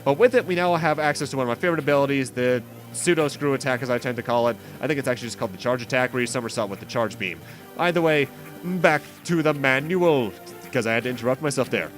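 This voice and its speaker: A man's voice: mid-range voice, quick pace. A man hosting a video game playthrough, giving commentary with rambling, self-interrupting asides. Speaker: host of a game playthrough series. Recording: game music behind the voice. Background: video game music.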